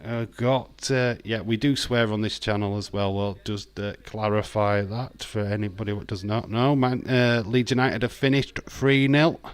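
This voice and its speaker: A man's voice: on the low side, little pitch movement, normal speed, hardly any pauses, clean tone. Chatty man with broad Yorkshire accent. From Yorkshire, England. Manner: chatty, reads viewer comments aloud, swears, many ums. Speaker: livestream host. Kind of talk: casual live watch-along chat.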